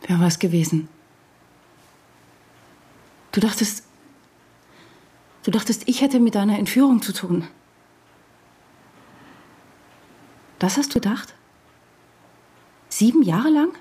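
An actress playing a distraught wife confronting her husband, speaking haltingly in intimate radio drama dialogue. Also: mid-range voice, lively intonation, warm tone, slightly rough. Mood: incredulous, hurt.

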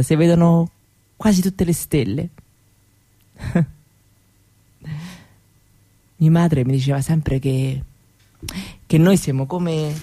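A dreamy young Roma woman speaks at a very unhurried pace with a gravelly edge to her voice. She tells her memories wistfully and haltingly, repeating phrases softly, as in an intimate radio play. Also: deep voice, full character voice. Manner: halting, wistful, with soft repetitions. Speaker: actress performing her own monologue. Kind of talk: intimate theatrical monologue for radio.

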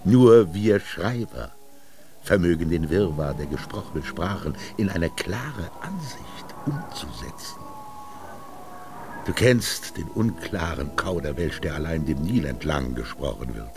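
An actor playing an old Egyptian master scribe in a radio drama, solemn and pompous, declaiming with drawn-out words. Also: male voice, low-pitched, animated, background noise. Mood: solemn, lofty. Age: older. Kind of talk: German radio drama monologue. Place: studio.

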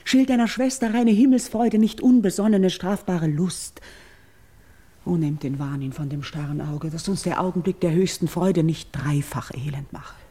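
A noble priestess in a classical radio play. Her voice is low and mellow, with a breathy husk. She declaims verse solemnly and pleads with tender gravity. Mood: urgent, imploring. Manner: solemn, elevated, measured declamation with breathy hushed phrases. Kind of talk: classical German verse radio drama acting.

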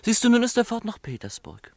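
A cheeky young brother in a radio drama. His voice is high and swings freely in pitch. He teases with playful mockery, in a dry sound. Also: huge pitch swings, a little fast, gravelly, a little mellow, dry recording, exaggerated voice. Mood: teasing. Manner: playful, mocking. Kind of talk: German radio play acting.

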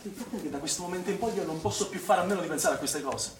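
An actor playing a defensive young male swimming instructor in a naturalistic stage drama, halting and repeating words insistently. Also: high-pitched, natural voice. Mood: angry, distraught. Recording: roomy, distant stage recording.

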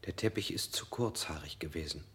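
A man's voice: a bass voice, a bit bright, slightly rough, heavily acted. An actor playing an evasive man under interrogation, rambling in strange images and sometimes whispering. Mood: grotesque. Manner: dreamy, halting associations, sometimes whispered. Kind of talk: modernist radio play acting.

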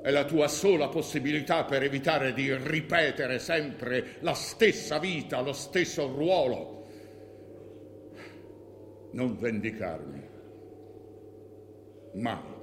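An actor playing the ghost of a dead king, a solemn, imploring father, in slow, emphatic theatrical speech. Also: medium pitch, animated. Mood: grave, reproachful. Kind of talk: theatrical stage acting. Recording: distant echoey hall, faint noise.

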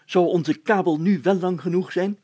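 A man's voice: high-pitched, fairly even intonation, normal speed, dark tone, slightly rough, pitched above the performer's own voice. A man voicing a pompous, solemn village mayor in a storybook, speaking in a formal, declamatory and long-winded way. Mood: pompous, rallying. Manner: pompous, declamatory, formal, stammering when flustered.

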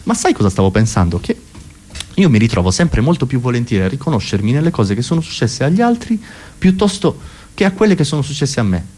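A man's voice: medium pitch, very animated, quick pace, darkish tone. A man performing a comic cabaret monologue in a rambling, self-interrupting, neurotic manner. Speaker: comedian.

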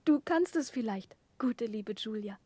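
A woman's voice: fairly high pitch, lively intonation, measured pace, warm tone, no room sound. An actress playing a sweet, pampered young lady with a coy, childlike manner in a 1960s German radio drama. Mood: pouting, confiding.

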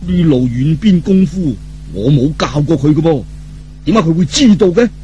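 A man's voice: on the high side, animated, normal speed, warm tone, dull audio, slight background noise, natural voice. A man playing a hot-tempered, proud old martial arts master in a Cantonese audiobook, blustering and easily startled. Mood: suspicious.

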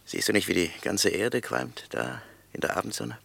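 A stubborn, nonchalant male escaped prisoner in a radio drama. His deep voice is heavily creaky and husky, grumbling with dry, colloquial irony. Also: normal speed, a bit bright, no room sound, exaggerated voice. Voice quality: gravelly. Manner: colloquial, nonchalant, dryly ironic. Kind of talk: radio play acting.

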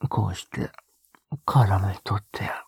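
A man playing a tender, teasing young male lover in an intimate romantic audio drama, breathy and often whispering in a soft, coaxing way close to the microphone. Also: low voice, level tone, slow pace, no echo, exaggerated voice. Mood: whispered, coaxing. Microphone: close microphone, dry sound.

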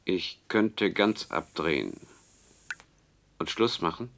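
An actor playing the anguished, halting brain of a dead man kept in a tank, in a science-fiction radio drama. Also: low voice, big pitch swings, creaky, a bit bright, exaggerated voice. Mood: bleak, despairing. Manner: halting, laboured, slow.

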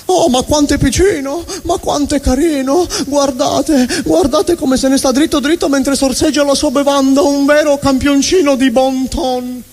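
A man's voice: very high-pitched, fairly even intonation, moderate pace, few pauses, a little mellow, soft background music, voice pushed up. A man voicing a gushing, affected elderly lady in a fussy, cooing stage comedy manner. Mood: gushing, adoring. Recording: echoey hall reverb, live stage.

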